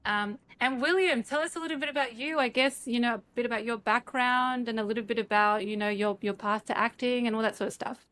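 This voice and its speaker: A woman's voice: a little high, fairly even intonation, a little fast, few pauses, fairly bright tone, no room sound. A woman hosting an interview, speaking in an encouraging manner. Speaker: host.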